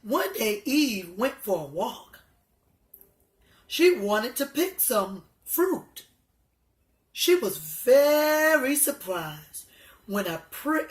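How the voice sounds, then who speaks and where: A woman reading a children's story aloud, with halting, deliberate delivery. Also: fairly high pitch. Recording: echoey room.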